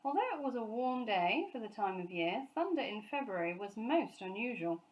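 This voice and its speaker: A woman reads a children's story aloud. Her voice sits at an average pitch for a woman, and she delivers scripted storybook narration. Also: animated, moderate pace, rough recording. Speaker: storybook reader, teacher.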